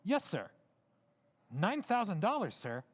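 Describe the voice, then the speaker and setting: An actor playing a polite, faintly mocking bank teller in an old-time radio drama recreation, formal and courteous. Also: male voice, high-pitched, huge pitch swings, a little slow, full character voice. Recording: distant voice with long reverb.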